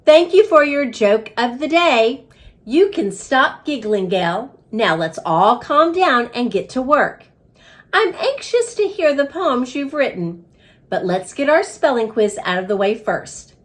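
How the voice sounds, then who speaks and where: A woman voicing a brisk female schoolteacher in a storybook, firm but encouraging as she instructs children. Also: on the high side, big pitch swings.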